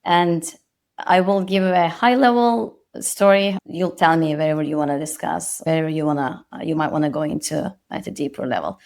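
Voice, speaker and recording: A woman's voice: sits low, moderate pace, vocal fry, a little mellow. A woman in her thirties from Turkey with a Turkish accent, a guest on a podcast interview, speaking reflectively and modestly with frequent restarts.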